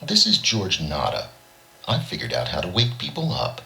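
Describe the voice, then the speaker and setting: Man reading as George, a cool-headed deep-voiced fugitive. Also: huge pitch swings, moderate pace, bright, forceful tone, full character voice, low-quality recording. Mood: cool, determined.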